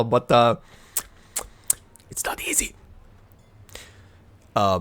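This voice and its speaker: A man from Croatia hosting a let's play, reading the game's narration and chatting excitedly. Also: mid-range voice. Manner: excitable, laughs often. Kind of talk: casual gameplay commentary, reading the narration.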